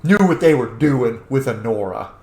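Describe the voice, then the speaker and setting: A man's voice: mid-range voice, lively intonation, normal speed, a little mellow. Chatty male gamer in an echoey room. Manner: chatty, informal, opinionated. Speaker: game streamer. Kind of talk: casual gameplay commentary. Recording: roomy, echoing microphone sound.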